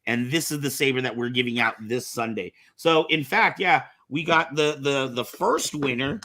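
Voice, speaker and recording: Bright, excitable man hosting a casual podcast. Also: mid-range voice, normal speed, slight background noise. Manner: animated, giggly, excitable. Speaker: talk show host.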